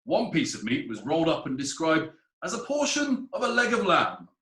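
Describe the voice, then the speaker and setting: An actor playing an indignant, pompous food inspector giving evidence in a period courtroom play, with emphatic delivery. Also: male voice, a little high, wildly swooping pitch, normal speed, bright, forceful tone, reverberant, exaggerated voice. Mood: pompous, disdainful. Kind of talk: theatrical courtroom play acting.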